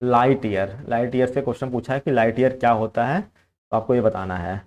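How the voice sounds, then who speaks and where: An Indian-accented man speaks as a teacher in a medium-pitched voice. He explains exam questions in an instructive lecture style, moving back and forth between two languages as he goes. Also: normal speed, a little mellow. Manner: instructive, code-switches between Hindi and English.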